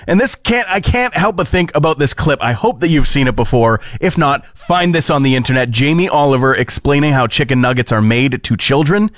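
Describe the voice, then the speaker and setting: A man's voice: mid-range voice, expressive, a little fast, few pauses, dry recording. A man in his thirties with a Canadian accent, hosting an entertainment podcast in a wry, conversational style. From Alberta, Canada. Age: 30s. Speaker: podcast host. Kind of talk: casual entertainment news and chat.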